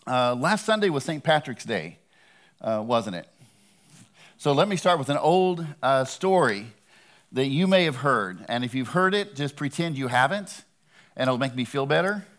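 A man delivers a sermon as a visiting preacher. He talks to the congregation in a plain, conversational voice at an ordinary pitch, earnest and now and then gently joking. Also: big pitch swings, moderate pace. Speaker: guest preacher.

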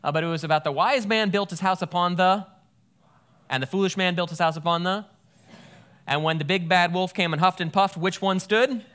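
A man's voice: high-pitched, fairly quick, a bit bright. Man preaching with a drawl. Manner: conversational, explains Greek words with a folksy aside. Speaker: pastor. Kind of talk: sermon with scripture reading.

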